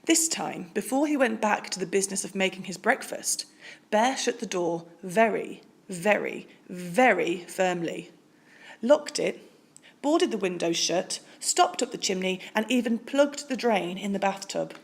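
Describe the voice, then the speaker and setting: A woman reading a children's storybook aloud in expressive narration with deliberate, dramatic phrasing. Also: mid-range voice, creaky. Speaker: storybook reader.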